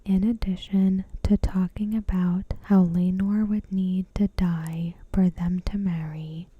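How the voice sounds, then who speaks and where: A woman giving ASMR commentary as a host, in a soft, breathy whisper with a gentle, measured, deliberate delivery. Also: on the low side, fairly even intonation, dry recording, phone-quality sound. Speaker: video host.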